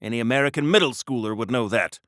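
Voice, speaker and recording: A man's voice: mid-range voice, quick pace, fairly bright tone, dry recording, clean studio sound, natural voice. A man voicing a wholesome, pompous 1940s patriotic superhero for a video game, with earnest, hammy delivery and quaint old-fashioned exclamations. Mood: chipper, folksy.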